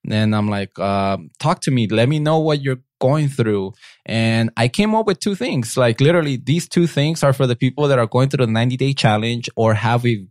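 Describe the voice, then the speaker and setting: A man's voice: medium pitch, lively intonation, fairly quick, a little mellow, no room sound, clean studio sound. A man co-hosting a casual motivational podcast, upbeat and talking straight to his listeners, laughing often. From Mexican American. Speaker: podcast co-host.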